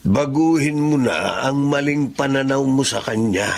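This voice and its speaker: A creaky, weary Filipino grandfather. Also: mid-range voice, a little slow, music in the background. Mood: mild, earnest. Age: older. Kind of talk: Tagalog radio drama acting.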